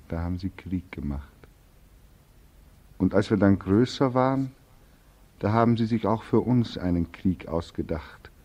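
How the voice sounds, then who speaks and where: A young soldier home from war, in 1940s radio-play style. His voice is very low and dark, slow and brooding, with bitter accusation and sardonic despair. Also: muffled recording. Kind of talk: 1940s radio drama acting.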